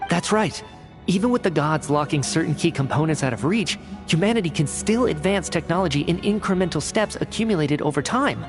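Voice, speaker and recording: A man's voice: a little high, lively intonation, brisk, over music. A man voicing a cool, rational young scientist in a video game, explaining precisely and matter-of-factly. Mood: explanatory. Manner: precise, analytical, matter-of-fact. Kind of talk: video game voice acting, expository dialogue.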